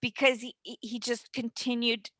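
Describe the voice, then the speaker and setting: Bright-voiced woman, frank and emphatic. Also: a little high, little pitch movement, normal speed, no echo. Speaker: guest, voice actor and dialect teacher. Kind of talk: casual interview talk.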